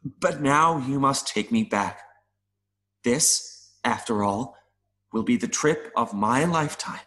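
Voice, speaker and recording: A man acting as a gentle, philosophical mayfly in an audio play, speaking earnestly and tenderly with wistful wonder. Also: medium pitch. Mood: uplifting. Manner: slow, earnest, tender delivery with buzzing.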